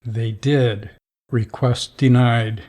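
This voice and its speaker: An actor playing a stern middle-aged vault overseer who talks in a dry, dismissive, rule-bound way. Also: male voice, mid-range voice, steady tone, extremely slow, darkish tone. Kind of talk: audio drama acting.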